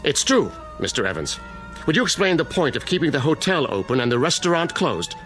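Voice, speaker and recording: A man's voice: on the high side, big pitch swings, a bit bright, soft background music. A man playing an unflappable, formally polite small-town mayor with veiled menace in an audio drama. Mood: troubled, regretful. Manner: formal, courteous, measured.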